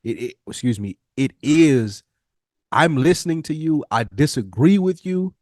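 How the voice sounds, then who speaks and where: A man's voice: mid-range voice, animated, unhurried, a little mellow, no room sound. American man talking earnestly in a dry space. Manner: earnest, emphatic, colloquial, repeats phrases as he builds a point. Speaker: podcast co-host. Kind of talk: casual reflective podcast conversation.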